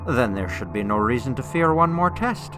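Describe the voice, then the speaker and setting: An actor playing a sly tempter spirit who serves a dark master, insinuating in manner, in a radio drama. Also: male voice, medium pitch, lively intonation, moderate pace, over music, lightly acted. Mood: sly, goading.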